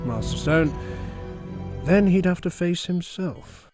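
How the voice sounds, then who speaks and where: A man acting a solemn, fatherly butler in a comic-book drama. His fairly high male voice moves very slowly and with measure, music behind it. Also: animated, exaggerated voice.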